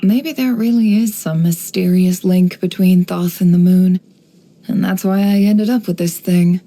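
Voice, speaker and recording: An actress voicing a sly, composed young woman, an intelligence broker in a video game, speaking in a measured, coolly knowing, teasing way. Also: on the low side, steady tone, dark tone. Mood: guarded, knowing. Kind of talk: video game character voice lines.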